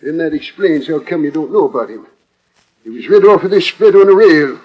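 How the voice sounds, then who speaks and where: An older, plain-spoken rancher in a vintage radio Western. His voice is high for a man, folksy and earnest, with an American Western accent. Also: animated, brisk, dull audio. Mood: indignant. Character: aging, worried, plain-spoken male ranch owner. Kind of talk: 1940s radio Western acting.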